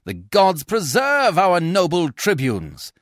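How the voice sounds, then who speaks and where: A man's voice: high-pitched, huge pitch swings, slow pace, no room sound, studio quality, pitched above the performer's own voice, heavily acted. A man voicing a crowd of rowdy Roman citizens who chant and jeer together. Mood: jubilant. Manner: chanting, jeering.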